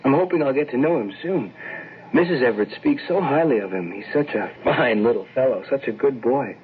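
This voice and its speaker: A man's voice: medium pitch, animated, a little fast, phone-quality sound, slight background noise, lightly acted. A man playing a kindly, solicitous church minister in a radio drama, earnest and reassuring. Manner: earnest, reassuring, repeats himself. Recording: band-limited old radio drama with music.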